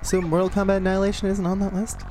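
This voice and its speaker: A man's voice: high-pitched, completely flat delivery, racing, a little mellow. A man talking casually as a panelist on a podcast. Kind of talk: casual chat. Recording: music behind the talk. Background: music.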